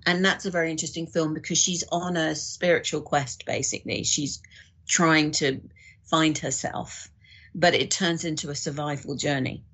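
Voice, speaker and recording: Low, husky-voiced woman in conversation. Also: fairly bright tone. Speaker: podcast guest. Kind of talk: thoughtful conversational discussion.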